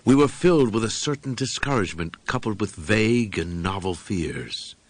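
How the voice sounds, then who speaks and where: A man narrating a horror story as an audiobook reader, with grave, deliberate and dramatic phrasing. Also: fairly low pitch, animated, no echo.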